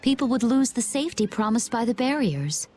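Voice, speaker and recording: A woman's voice: a little high, very animated, a little fast, darkish tone, faint music behind. A woman voicing a composed, courteous young adventurer in a video game. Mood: calm, composed. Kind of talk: English video game voice acting.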